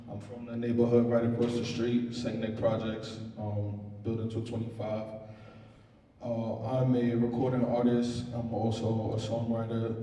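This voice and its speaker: Dark, flat-voiced New man. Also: lowish voice. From Harlem, New York. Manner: laid-back, humble, many fillers. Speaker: panelist, recording artist. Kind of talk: personal introduction at a community panel. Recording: echoey hall, distant mic, some noise.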